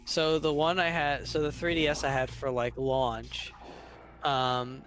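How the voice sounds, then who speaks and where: A man in his twenties co-hosting a game session, telling stories in a halting, meandering way. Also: fairly high pitch, level tone, a bit bright. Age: 20s. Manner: halting, meandering, restarts often. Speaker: co-host. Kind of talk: casual chat.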